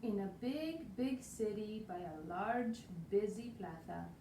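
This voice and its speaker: A woman from Spain reads a picture book to children, her Spanish accent clear and her delivery lively and rhythmic. She sits some way from the camera in a small room with a roomy echo. A faint hiss sits under her voice. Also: medium pitch, slow, far from the mic. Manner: lively, rhythmic read-aloud with Spanish words. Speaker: storytime reader. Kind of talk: reading a picture book aloud. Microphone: far camera.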